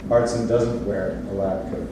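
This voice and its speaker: A distant, noisy recording in a very echoey space. A man with a mid-pitched voice narrates the stage directions of a play reading. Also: steady tone, unhurried.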